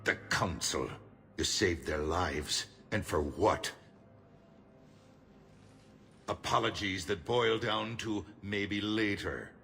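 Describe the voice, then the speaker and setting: Deep, forceful, grave statesman. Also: vocal fry, slight background noise, exaggerated voice. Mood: grim. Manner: measured, oratorical, emphatic. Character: shrewd middle-aged human politician, grave and defiant. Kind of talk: cinematic game dialogue.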